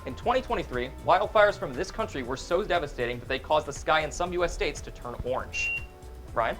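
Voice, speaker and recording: A man's voice: a little high, lively intonation, a little fast, over music, rough recording. A young man hosting a college TV quiz show in a studio, upbeat, chuckling often and stumbling now and then as he reads the clues. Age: young adult. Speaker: game show host.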